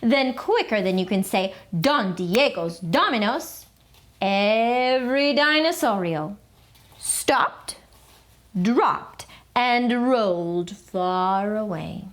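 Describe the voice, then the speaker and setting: A woman reading a children's picture book aloud, telling the story in an animated, theatrical way. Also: medium pitch, slow pace.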